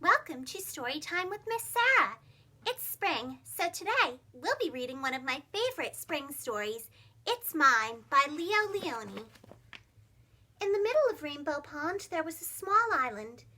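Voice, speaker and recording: A woman narrating a children's storybook as a preschool teacher, expressive, with simple phrasing for young children. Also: sits high, measured pace.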